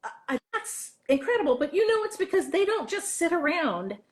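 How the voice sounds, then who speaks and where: A woman's voice: very high-pitched, sing-song, a little fast. An American woman speaking as an interview guest and theater teacher, telling anecdotes conversationally and acting out remarks.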